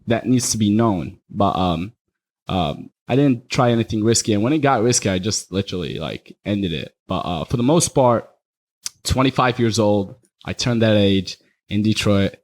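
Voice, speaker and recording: A man's voice: on the low side, expressive, moderate pace, a little mellow. A young man from Iraq, talking candidly and rambling a little as a podcast guest. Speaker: guest, party promoter. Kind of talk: casual podcast chat.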